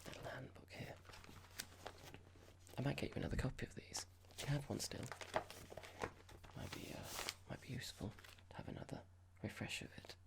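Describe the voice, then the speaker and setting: An ASMR performer playing a cold, condescending boss in a roleplay, speaking softly in a measured, formal, halting and passive-aggressive way. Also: mid-range voice, very noisy. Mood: stern, condescending. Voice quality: breathy, creaky whisper. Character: cold condescending boss about to fire an employee. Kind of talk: ASMR roleplay, whispered and soft-spoken.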